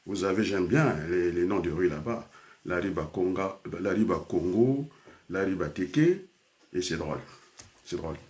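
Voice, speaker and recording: A man's voice: sits low, expressive, unhurried, a little mellow. An older man from Congo, a teacher and trainer, speaking in a rambling personal talk full of hesitations and switches into Lingala.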